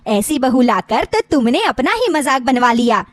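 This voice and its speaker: A woman's voice: high voice, very animated, normal speed, no room sound. A woman voicing mocking middle-aged neighbour gossips in a story, taunting with false sweetness. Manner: taunting, mock-sweet, exclaiming.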